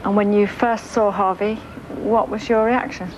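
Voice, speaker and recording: A woman interviews a contestant, asking her questions briskly. She speaks at a mid pitch. Faint noise hangs behind her. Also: steady tone, a little mellow, low-quality recording.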